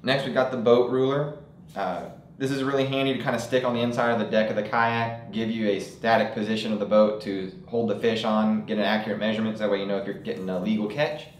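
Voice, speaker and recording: A young man with a fairly low voice demonstrates products in a relaxed, unscripted way, often pausing on filler sounds. The studio gives his voice some room echo. Faint ambience sits behind him. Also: a little hiss or hum. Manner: casual, relaxed delivery with frequent "uh" fillers. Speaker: store product presenter. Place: indoor studio set with table of products.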